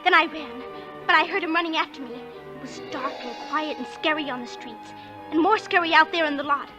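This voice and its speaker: A woman's voice: high-pitched, fairly quick, over music, natural voice. A woman playing a plucky, inquisitive little girl with an artless, earnest manner in a 1940s radio suspense drama. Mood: breathless storytelling.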